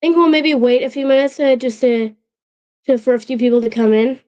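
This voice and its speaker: A woman hosting a casual livestream, talking in a halting way with frequent restarts and check-ins with her chat, her voice a little off from a sore throat that is still healing. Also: high-pitched, darkish tone, dull audio. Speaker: channel host.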